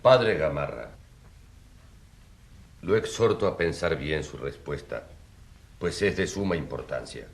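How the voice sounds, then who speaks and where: An actor playing a stern presiding judge in a radio drama, with a formal, measured, grave delivery. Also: male voice, a bass voice, expressive, creaky, reverberant, full character voice.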